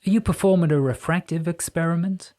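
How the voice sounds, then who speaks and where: A man's voice: fairly high pitch, a little fast, dark tone, pitched above the performer's own voice, lightly acted. A man doing a skeptical researcher with a confident drawl. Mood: puzzled.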